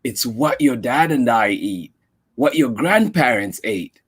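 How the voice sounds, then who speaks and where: A man voicing a gentle, caring mother in a read-aloud storybook, speaking softly and tenderly. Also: medium pitch, lively intonation, slow, husky.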